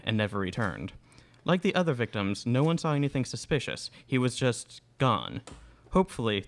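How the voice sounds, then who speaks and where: A young man playing an earnest news reporter in a student radio play, reading formally and gravely. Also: mid-range voice, natural voice. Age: young adult. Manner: formal, grave, read from script. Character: earnest male TV news reporter giving an emergency bulletin. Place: indoor studio stage. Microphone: microphone on boom stand.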